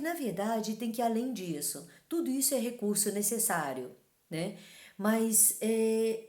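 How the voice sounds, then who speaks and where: A woman's voice: mid-range voice, darkish tone. Woman in a roomy space. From Taiwan. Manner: conversational, frequent tag questions. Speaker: host. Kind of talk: talking-head advice talk. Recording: roomy reverberant room.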